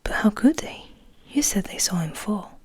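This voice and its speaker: A woman voicing an earnest, curious young male interviewer in a tentative, breathy whisper, as in an audiobook. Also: medium pitch, lively intonation, moderate pace, darkish tone. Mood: questioning. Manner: questioning, tentative. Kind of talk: whispered ASMR audiobook reading.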